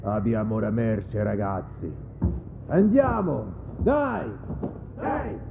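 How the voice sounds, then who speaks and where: Man playing gruff henchman, gravelly, swinging pitch, threatening, audio drama over heavy engine noise. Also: huge pitch swings, takes it slowly, narrow, tinny audio, heavily acted. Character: gruff male mob henchman, bossy and threatening. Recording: very noisy, boat engine and water.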